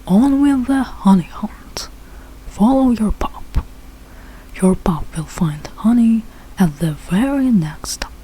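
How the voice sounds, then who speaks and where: Woman voicing a confident, boastful father bear, unhurried. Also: mid-range voice, animated, warm tone, slight background noise, poor audio. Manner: self-assured, instructive, slow rhyming delivery.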